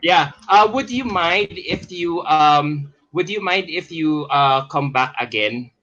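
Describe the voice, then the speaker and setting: Man, Filipino accent, bright tone, slightly high voice, call host. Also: animated, takes it slowly, dull audio. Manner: mixes English and Tagalog, hesitant restarts. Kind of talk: casual video call chat.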